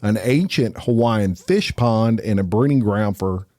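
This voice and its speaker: Folksy man, low and mellow. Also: unhurried, no echo. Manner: folksy, drawling, says "y'all". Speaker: podcast co-host. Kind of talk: casual crime news chat. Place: podcast studio.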